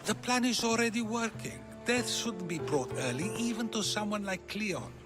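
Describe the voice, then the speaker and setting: An actor playing a thoughtful, questioning philosopher of ancient Athens in a video game, measured and probing. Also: male voice, high voice, lively intonation. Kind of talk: dramatic video game cutscene acting. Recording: prominent music behind the voice.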